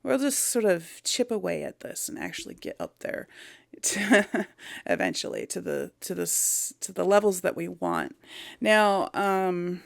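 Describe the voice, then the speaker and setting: Low, creaky-voiced woman gaming host. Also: expressive, measured pace, dry recording, studio quality. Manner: informal, rambling, thinking aloud. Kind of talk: casual game commentary to an audience. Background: music.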